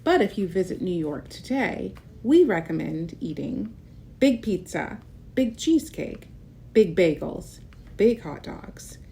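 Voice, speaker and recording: Female children's read-aloud host: very lively pitch, soft-edged mellow tone, expressive storytelling with chatty asides, slight rumbling noise. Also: slow pace, gravelly. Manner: expressive, explains and points things out for children.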